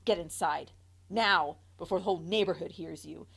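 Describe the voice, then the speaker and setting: Brief: woman playing a cruel, dismissive mother, loud, curt, rapid scolding. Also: lowish voice, creaky, breathy edge, full character voice. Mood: furious. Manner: loud, snapping, accusing.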